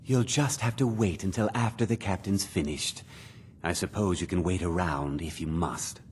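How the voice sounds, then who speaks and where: An actor playing a fussy meadery owner in a fantasy video game, with an obsequious, fretful manner. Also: male voice, deep voice, moderate pace, noisy background, lightly acted. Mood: impatient, grudging. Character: fussy male meadery owner, servile to his betters.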